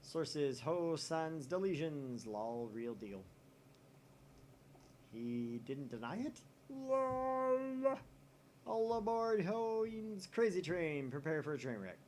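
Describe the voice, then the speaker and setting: A man's voice: on the high side, very animated, slow pace, a little mellow, slight background noise. Man reading game text in shifting voices. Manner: reads chat posts in shifting voices, swears casually. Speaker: let's play host. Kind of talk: reading a visual novel aloud.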